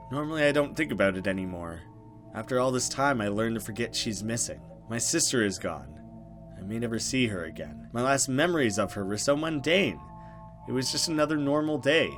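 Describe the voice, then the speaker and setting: A man's voice: mid-range voice, expressive, moderate pace, a bit bright, soft background music, close to an everyday voice. A man voicing an earnest young woman from a horror visual novel in a conversational storytelling way. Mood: sad, subdued. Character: grieving young woman, friendly hostess, unsettled by things. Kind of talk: visual novel dialogue read aloud.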